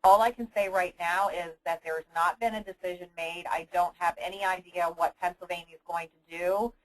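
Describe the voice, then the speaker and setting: A woman hosting an informal business briefing for agents, explaining things in an instructive, deliberate way with pauses mid-sentence. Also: on the low side, steady tone, rough recording. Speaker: host of an insurance agent conference call.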